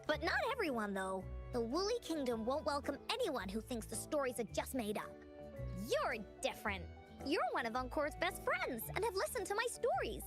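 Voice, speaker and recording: A woman's voice: sits high, very animated, moderate pace, a bit bright. A woman voicing a cheerful, imaginative little girl adventurer in a cute anime video game, eager and speaking of herself in the third person. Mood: earnest, eager. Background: game music.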